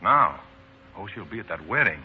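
An animated, husky-voiced newspaperman. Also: sits low, moderate pace, full character voice. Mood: sheepish, explaining. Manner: animated, exclamatory, hesitant when cornered. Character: Stephen, earnest busy male political speechwriter, sociable but evasive. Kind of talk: 1940s radio drama acting. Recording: dull vintage 1940s radio broadcast.

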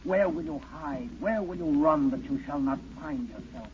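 An actor playing an ominous, taunting narrator who haunts a fugitive, incantatory and theatrical, in an old radio drama. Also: male voice, on the high side, expressive, normal speed, natural voice. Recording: noisy, dull 1940s radio broadcast.

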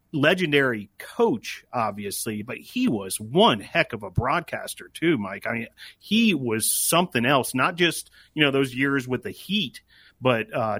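A man with a mid-pitched voice talks sports as a podcast co-host. His delivery is casual and reflective, a little halting, with words repeated as he thinks aloud. The sound is dry and close. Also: fairly bright tone, breathy edge, no room sound. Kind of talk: casual conversational sports commentary.

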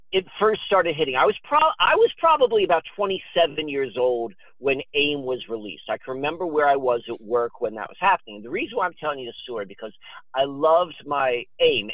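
A man in his fifties talking casually as a podcast guest, telling rambling stories in an excitable, digressive, emphatic manner. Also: medium pitch, moderate pace, no room sound, muffled recording. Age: 50s. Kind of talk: animated rambling storytelling and casual chat.

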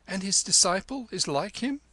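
Man putting on a shrill, sharp-tongued peasant wife. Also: animated, normal speed, slightly rough, no echo, heavily acted. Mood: scornful. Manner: shrill, scornful.

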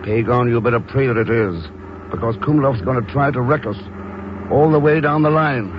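An actor playing a cool, decisive secret agent who talks tersely and dryly in a 1950s radio drama. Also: male voice, mid-range voice, normal speed, natural voice. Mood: dry, grim. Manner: terse, dry, commanding. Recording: muffled, noisy old radio broadcast.

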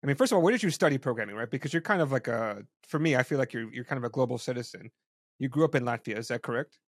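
A man's voice: medium pitch, animated, rapid-fire, dry recording. Man, interview host, casual, curious, stumbling restarts, dry sound. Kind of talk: interview conversation.

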